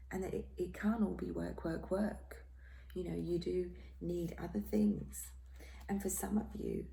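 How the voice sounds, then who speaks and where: A hoarse, croaky woman giving a tarot reading in a soft, half-whispered, reassuring way. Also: lowish voice, slight background noise, rough recording. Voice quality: croaky, throat-clearing. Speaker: tarot reader. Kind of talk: casual tarot reading monologue.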